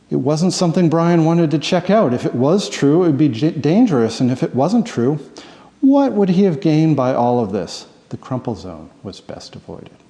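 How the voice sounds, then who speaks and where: A man reading his own fiction aloud as an author, with dramatic, expressive storytelling in an audiobook-style narration. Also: fairly high pitch. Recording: long reverberant hall-like echo.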